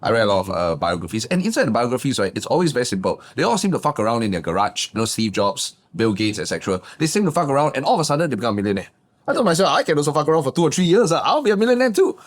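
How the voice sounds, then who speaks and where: A man, a guest business coach telling his backstory in a lively, emphatic way. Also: very fast. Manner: animated, emphatic, swings pitch up for punchlines. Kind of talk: casual interview storytelling.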